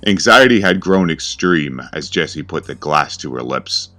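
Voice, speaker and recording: Male horror narrator, extremely low pitch; grave, ominous delivery. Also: animated, normal speed, sharp tone.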